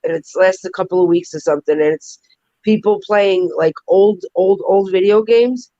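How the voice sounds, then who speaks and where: A middle-aged woman with a raspy voice and a New York accent, chatting and joking on a live stream. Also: mid-range voice, no room sound, lo-fi sound. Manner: chatty, animated, quick to joke. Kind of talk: casual live stream chat.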